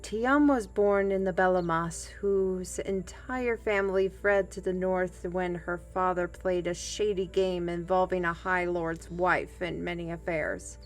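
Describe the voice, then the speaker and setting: A woman's voice: on the low side, fairly even intonation, measured pace, few pauses. A woman playing a humble, plain-spoken fantasy trail guide and storyteller in an audio roleplay, with folksy, old-fashioned and hesitant phrasing. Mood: storytelling. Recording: music bed behind the voice.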